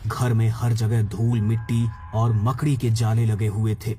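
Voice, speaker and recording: A man's voice: lowish voice, level tone, a little slow, dark tone. A man delivering scripted narration for a dramatic horror story. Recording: music under the voice.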